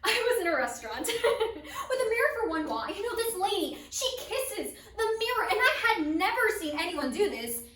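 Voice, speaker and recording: High-pitched, unhinged giggling woman, acted by a teen girl. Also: big pitch swings, slow pace, long pauses, fairly bright tone, full character voice. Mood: manic, giddy. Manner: manic giggling delivery with abrupt swells. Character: unhinged laughing woman, giddy, rambling, lonely and wounded. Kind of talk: dramatic monologue performance. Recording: roomy, echoing, distant microphone.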